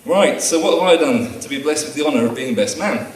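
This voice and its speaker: A man's voice: mid-range voice, animated, a little fast. A man giving a best man's speech at a wedding, with dry, teasing humour read from his prepared notes. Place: wedding reception room. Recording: echoey room taped from far off.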